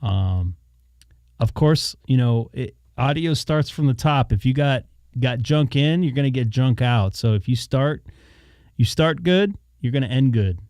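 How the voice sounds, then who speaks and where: A man's voice: medium pitch, expressive, measured pace, a little mellow, no echo, clean studio sound. A man hosting a radio hobby live stream, chatting casually and thinking aloud in a rambling way. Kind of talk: casual chat. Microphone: dynamic studio mic held in hand.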